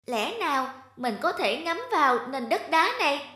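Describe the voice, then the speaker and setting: A woman's voice: high-pitched, animated, unhurried, bright, forceful tone, soft background music, heavily acted. A woman voicing a small, brave, anxious young raindrop boy in a cartoon, earnest and exclamatory. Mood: frightened, doubtful.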